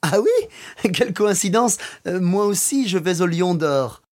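A man's voice: sits high, animated, moderate pace, darkish tone. A man playing a friendly, helpful passer-by in a language-course dialogue, polite and conversational. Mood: pleasantly surprised. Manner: polite, conversational, natural hesitations.

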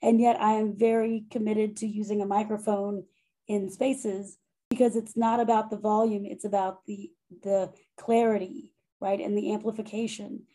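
A woman with a loud voice who talks through her teaching practice in a conversational interview, often pausing, repeating words and checking in with "right?". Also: medium pitch, little pitch movement, poor audio. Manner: thoughtful, self-correcting, frequent "right?" and "um". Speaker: faculty member and monograph author.

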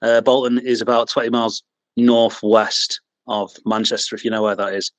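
A man's voice: lowish voice, level tone, normal speed, no echo. A man with a Mancunian accent, chatting as a podcast guest, hesitant with lots of ums and restarts, chuckling at himself. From England. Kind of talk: casual interview chat.

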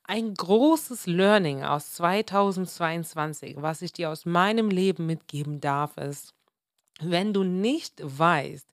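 A woman in her twenties hosting a motivational solo podcast, slightly hoarse and a bit under the weather, speaking earnestly and with emphasis. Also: fairly low pitch, slow pace, no room sound, studio quality. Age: 20s. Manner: earnest, emphatic, mixes in English words. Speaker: podcast host.

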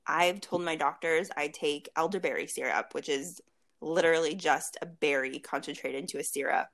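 A woman in her late twenties speaks as a guest in a relaxed interview, her voice low and marked by vocal fry. She talks easily and at length, with frequent fillers, restarts and small laughs. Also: normal speed. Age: 20s. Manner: chatty, laughs often, many fillers and restarts. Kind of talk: casual video chat interview.

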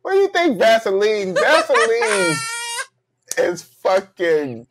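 A man's voice: high-pitched, sing-song, slow pace, background noise. A man hosting a comedy podcast, talking in a loose, joking, slangy way. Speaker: podcast host. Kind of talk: casual comedic chat.

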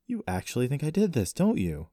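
A man's voice: mid-range voice, big pitch swings, fairly quick, warm tone, dry recording, studio quality, voice pushed up. A man playing an indignant, wounded young man in an audiobook story, incredulous and defensive. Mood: hurt, indignant.

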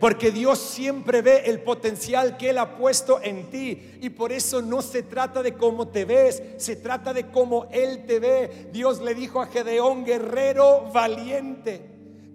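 A man from Australia with an Australian English accent, preaching with a strikingly high voice. He drives each point home with emphatic repetition and direct appeals to his listeners, in a church hall with long, ringing reverb and faint music beneath. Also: steady tone, measured pace, a little mellow. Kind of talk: sermon.

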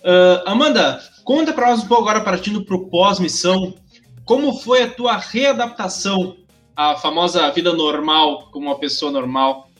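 A man's voice: sits high, normal speed, a bit bright, faint music behind. A man hosting an interview, leading the guest into a new topic. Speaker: host. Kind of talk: casual interview.

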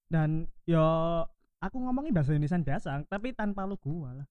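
A man's voice: a little high, animated, a little fast, dry recording. Young man, dull dry sound. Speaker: chat participant. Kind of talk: casual group chat.

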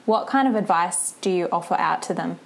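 A woman's voice: on the low side, a little fast, gravelly. Woman interviewing in a conversational style. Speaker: podcast host, interviewer.

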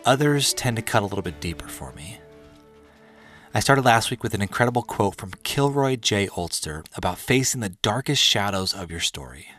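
A man in his thirties hosting a podcast, telling his personal story in an earnest, measured, partly scripted monologue with deliberate pauses. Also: on the low side, a bit bright. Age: 30s. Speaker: podcast host.

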